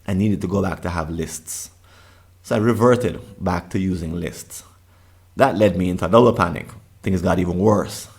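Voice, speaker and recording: Deep gravelly Jamaican man presenting. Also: moderate pace, darkish tone. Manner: earnest, with frequent restarts and repeated words. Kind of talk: online summit presentation.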